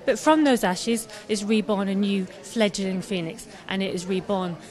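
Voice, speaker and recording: A woman's voice: mid-range voice, normal speed, darkish tone. Young woman chatting at a handheld mic. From Scotland. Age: young adult. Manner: chatty, enthusiastic, many fillers. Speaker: artist guest. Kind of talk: live radio interview. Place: busy pub. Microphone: handheld mic held by host.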